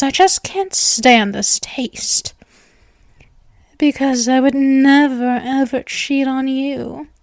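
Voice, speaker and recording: A woman's voice: a little high, no echo. A woman voicing a possessive, centuries-old vampire girl in an intimate ASMR roleplay, coy and cooing with a threatening edge. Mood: disgusted, devoted.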